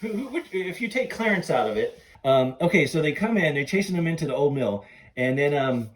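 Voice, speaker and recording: A middle-aged man hosting a podcast, chatting casually and gushing like an excited fan. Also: on the high side, a little fast, rough recording. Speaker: podcast host. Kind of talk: casual chat between film fans.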